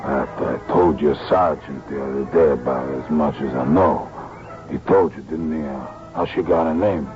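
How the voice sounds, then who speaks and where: An actor playing a chatty, cagey music hall boss in an old-time radio drama, talking in a halting way. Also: male voice, a bass voice. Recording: dull lo-fi old radio recording, music behind.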